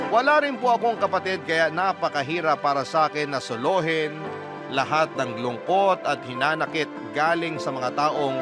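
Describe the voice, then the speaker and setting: A man with a Filipino accent reading a confessional story in radio style, with sentimental, deliberate phrasing. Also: on the high side. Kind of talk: radio-style scripted first-person narration of a confession letter. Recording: loud background music. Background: music.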